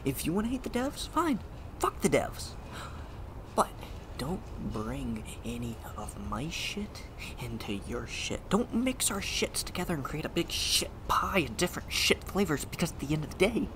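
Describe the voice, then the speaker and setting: A woman playing jittery, animated, foul-mouthed superpowered slacker. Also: low voice, moderate pace, noisy background. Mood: exasperated, defiant. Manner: stammering, exasperated, slangy. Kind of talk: audio drama acting.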